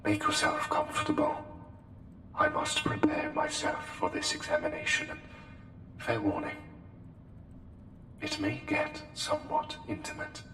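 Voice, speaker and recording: In a reverberant hall with music behind, a man voices a courtly, intense male plague doctor, something not quite human. He speaks low and very slowly in formal, old-fashioned phrases with careful pauses, as intimate ASMR roleplay. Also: huge pitch swings, heavily acted. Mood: slyly menacing. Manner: formal, archaic wording, hesitant pauses, deliberate.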